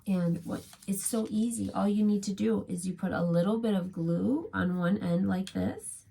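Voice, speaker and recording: A woman with a husky, creaky voice leads a children's craft demonstration. She talks in short, simple steps and offers encouragement like a preschool teacher. Her pitch sits in an ordinary middle range for a woman. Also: normal speed, warm tone. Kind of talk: gentle step-by-step craft demonstration for young children.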